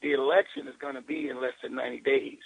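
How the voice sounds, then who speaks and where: An American man, a city councilman, giving an indignant off-the-cuff comment in a rough phone-like soundbite. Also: mid-range voice, very animated, quick pace.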